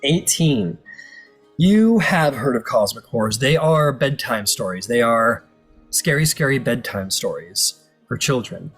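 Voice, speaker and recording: A man's voice: mid-range voice, animated, unhurried, a bit bright, slightly rough. A man improvising narration as the game master of a tabletop role-playing game. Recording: music playing under the voice. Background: background music.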